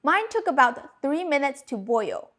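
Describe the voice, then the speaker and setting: A woman in her thirties whose first language is Cantonese, narrating a cooking lesson with clear step-by-step instructions. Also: high-pitched, sing-song, a little slow, fairly bright tone. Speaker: cooking channel host. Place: home kitchen.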